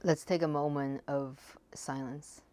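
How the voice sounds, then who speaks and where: A woman's voice: low voice, steady tone, a little slow, warm tone, a little hiss or hum. A woman hosting an interview and asking questions in a casual, conversational way. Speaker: podcast host.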